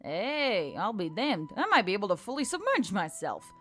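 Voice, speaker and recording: A woman voicing a gruff, brash female centaur in a story, with a blunt, slangy manner and a hot temper. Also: medium pitch, very animated, moderate pace, a bit bright, soft background music. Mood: pleased, surprised.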